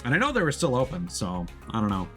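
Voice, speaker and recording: A man live streaming in casual chat, talking in a chatty, hesitant way with trailing pauses. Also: medium pitch, huge pitch swings, a bit bright. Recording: music playing behind the voice. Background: music.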